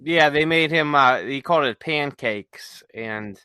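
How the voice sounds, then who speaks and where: A male podcast host with a fairly high, very bright voice chats casually and playfully. He likes to banter and joke, and the sound is close and dry. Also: a little slow, clean tone, no room sound. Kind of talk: casual chat.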